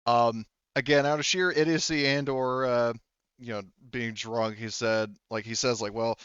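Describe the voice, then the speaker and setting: Clear-voiced man narrating haltingly. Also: medium pitch, steady tone, fairly bright tone, no echo, muffled recording. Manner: halting, stop-start phrasing. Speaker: game master. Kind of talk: improvised tabletop game narration.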